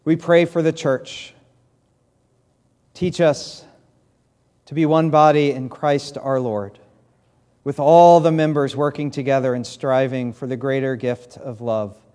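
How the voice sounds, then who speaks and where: A man in his thirties with a New England accent, a pastor giving church announcements and leading prayer at a podium mic, speaking haltingly with many fillers. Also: medium pitch, steady tone, dark tone. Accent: New England American. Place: large church sanctuary, in doors.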